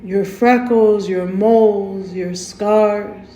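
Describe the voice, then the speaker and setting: A woman leading a guided meditation in a gentle, soothing, unhurried way, softly inviting the listener to breathe. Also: mid-range voice, steady tone, slight background noise. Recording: roomy echo with faint music.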